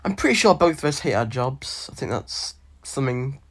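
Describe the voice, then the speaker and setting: Young man, congested nasal voice, medium pitch, casual chat. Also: lively intonation, normal speed. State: congested with a cold.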